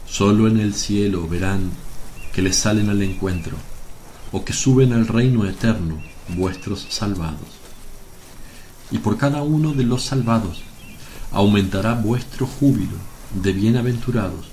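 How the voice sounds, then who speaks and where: A man's voice: fairly low pitch, unhurried, a little mellow, slight background noise, natural voice. A man playing a serene, fatherly religious teacher, solemn and reassuring, in a radio drama. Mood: solemn teaching.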